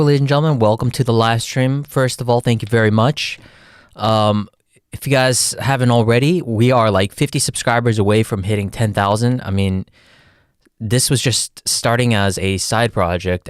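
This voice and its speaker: A man with a Texan accent hosting a livestream, giving casual, folksy chart commentary and often calling out to "ladies and gentlemen". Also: fairly low pitch, steady tone, normal speed, no echo, clean studio sound. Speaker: livestream host, market analyst.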